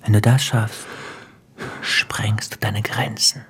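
A man playing a menacing, coldly taunting crime boss in a radio drama, drawing out threats in a husky whisper. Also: fairly low pitch, flat delivery, takes it slowly, exaggerated voice. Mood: cold menace.

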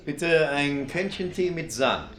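A man's voice: a little high, animated, takes it slowly, a bit bright. An actor playing a courteous, reserved middle-aged businessman in a naturalistic radio play, polite, formal and slightly hesitant. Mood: reserved, embarrassed. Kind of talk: naturalistic radio drama acting.

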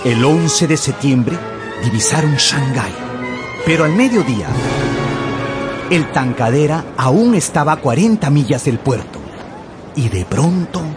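A man's voice: on the high side, expressive, a little slow, long pauses. A man narrating a dramatized story for radio in a theatrical storytelling manner. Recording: music behind the voice.

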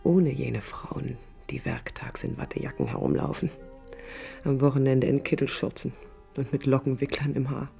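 A woman's voice: a bass voice, lively intonation, normal speed, creaky, mellow, faint music behind, exaggerated voice. An actress playing a proud, idealistic young woman architect in a radio drama, articulate, combative and ironic. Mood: wistful, hushed. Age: young adult. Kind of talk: radio drama acting with interior monologue. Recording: 1980s radio play with background noise.

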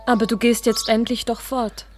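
An actress playing a tender, self-sacrificing young woman in love in a radio drama, earnest and halting. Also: fairly high pitch, level tone, lightly acted. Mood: tender, wistful. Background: birdsong and music.

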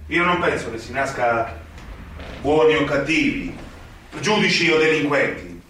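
In a very echoey space, an idealistic young magistrate for radio theatre. His voice is sharp and sweeps up and down. He presses his questions with theatrical, rhetorical insistence. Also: medium pitch, animated, unhurried, slight background noise. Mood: earnest, resolute. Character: idealistic young male magistrate, indignant and probing. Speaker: actor. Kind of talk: theatrical radio drama acting. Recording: very echoey room, distant from microphone.

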